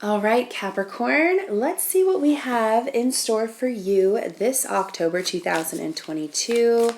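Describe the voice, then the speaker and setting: A woman's voice: fairly high pitch, animated, unhurried, darkish tone. A woman hosting a casual tarot reading, nasal and sniffly from a cold, chatty and full of ums and restarts as she talks through each card. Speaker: tarot reader and channel host.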